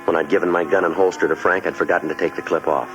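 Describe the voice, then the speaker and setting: An actor playing a dogged, level-headed police detective in a 1950s radio drama, clipped and matter-of-fact. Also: male voice, low-pitched, steady tone, very fast, music in the background, lightly acted. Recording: muffled, noisy old 1950s radio recording.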